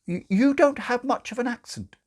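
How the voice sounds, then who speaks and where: A man voicing a helpful, scatterbrained woman, a nurse nun in a storybook, gushing and hurried in her reassurance. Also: very high voice, expressive, voice pushed up. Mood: chirpy, earnest.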